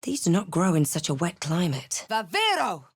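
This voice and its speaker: A woman playing a wry, wary halfling adventurer in a fantasy video game, with a measured, dramatic delivery. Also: on the low side, huge pitch swings, husky, dry recording, studio quality, heavily acted. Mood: incanting. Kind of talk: scripted video game voice lines and narration.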